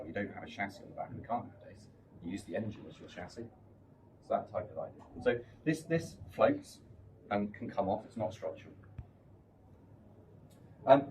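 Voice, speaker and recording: A man's voice: fairly low pitch, a little mellow. A man giving an informal technical product presentation, explaining things step by step. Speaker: product presenter for a loudspeaker maker. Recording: distant mic, noisy room.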